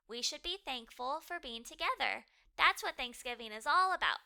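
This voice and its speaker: A woman voicing a sensible, kind young girl in a storybook, gently frank in manner. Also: high voice, animated, normal speed, creaky, clean tone, full character voice. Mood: reassuring.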